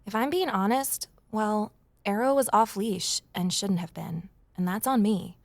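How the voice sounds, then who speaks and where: A woman's voice: medium pitch, unhurried, darkish tone, dry recording, lightly acted. An actress plays a woman telling her own story in an audio drama. She is candid and wry, with a mid-range voice. She slips in self-deprecating asides as she goes. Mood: candid, reflective. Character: wry, candid woman, former journalist starting over. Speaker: lead character and first-person narrator. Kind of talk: scripted first-person audio drama narration.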